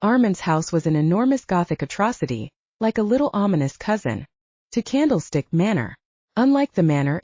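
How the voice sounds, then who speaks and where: A woman's voice: on the low side, warm tone, no room sound, highs cut off. A woman reading scripted first-person fiction as an audiobook narrator.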